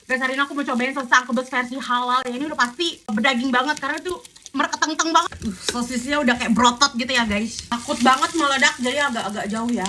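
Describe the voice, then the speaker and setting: High-voiced Indonesian woman tasting food. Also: quick pace, bright, forceful tone, a little hiss or hum. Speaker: food vlogger. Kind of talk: casual mukbang taste-test chat.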